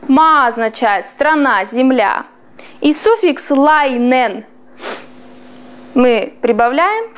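A woman in her thirties teaches a small class, her voice high and bouncing with lively rises and falls. She takes her time, stretching each foreign word out slowly and clearly so the students can follow. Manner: slow, clearly drawn-out pronunciation of foreign words. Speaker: language teacher.

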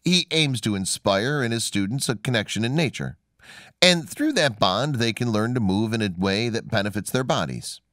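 A man's voice: medium pitch, lively intonation, moderate pace, fairly bright tone, no echo, studio quality. A man reading scripted narration in the style of a documentary.

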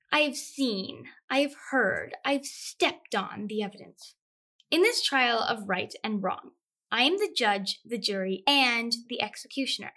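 A woman's voice: sits high, a bit bright, full character voice. A woman performing a comedic stage monologue as an indignant, self-righteous young woman lecturing a class, pompous and melodramatic. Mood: grandly accusing.

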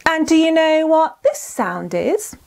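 High, animated female children's storyteller. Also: slow pace, gravelly. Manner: enunciated, encouraging, asks listeners questions. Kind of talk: scripted children's storytelling and teaching.

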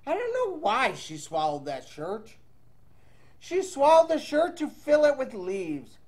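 A man reads a picture book aloud for children at an unhurried pace. His pitch moves up and down freely in a playful sing-song. Between lines he chats casually with his young listeners. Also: wildly swooping pitch, a little mellow. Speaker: children's storybook reader. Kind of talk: animated read-aloud to children with casual asides.

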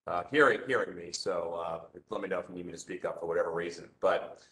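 A man, a land use attorney, walking a community meeting through slides, loud-voiced and casual, with many fillers and restarts. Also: low-pitched, quick pace. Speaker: land use attorney presenting a rezoning case. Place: community meeting room, standing to speak. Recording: echoey meeting room, faint noise.

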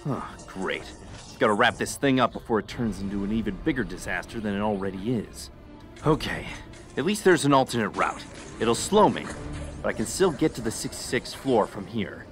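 Casting: sarcastic, worried young superhero of the future, played by a man, animated intonation, exasperated edge, game music underneath. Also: on the low side, moderate pace, close to an everyday voice. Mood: tense, exasperated. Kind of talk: video game fandub acting.

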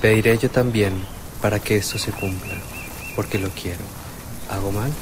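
A man's voice: on the low side, fairly even intonation, moderate pace, noisy background, natural voice. An actor playing an earnest, cautious and respectful male disciple in a religious radio play. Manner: deferential, earnest. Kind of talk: radio drama acting.

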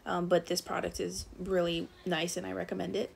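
A woman's voice: fairly low pitch, fairly quick, gravelly, a little hiss or hum. Woman talking through her hiking gear. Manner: relaxed, frequent "um" fillers, recommending. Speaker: hiker reviewing her gear. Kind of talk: casual gear review narration.

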